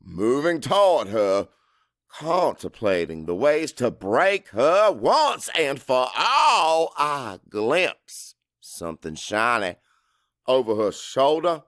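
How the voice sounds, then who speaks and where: An actor playing a crude, hot-tempered Cajun father who narrates his own story in a gruff, expressive audiobook style. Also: medium pitch, slow, no room sound, clean studio sound. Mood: brooding. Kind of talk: first-person dramatic audiobook narration.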